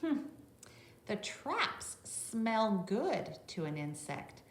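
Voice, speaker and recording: A woman's voice: medium pitch, very animated, extremely slow. A middle-aged woman teacher reading a picture book aloud to young children, adding instructive asides and checking in with little questions. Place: classroom, indoors. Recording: roomy echo, faint background noise.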